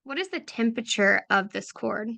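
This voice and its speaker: A woman leading a guided visualization in a soft, unhurried, affirming way, often repeating phrases. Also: on the high side, animated, vocal fry, dry recording. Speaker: meditation guide for creative business owners.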